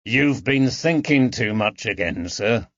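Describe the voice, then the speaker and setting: A man's voice: fairly low pitch, lively intonation, takes it slowly, a bit bright, no room sound, exaggerated voice. A man voicing a good-natured, plain-spoken working-class father with homely, colloquial speech in an audiobook. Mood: reassuring. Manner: colloquial, homely, unschooled grammar. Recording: dull lo-fi recording.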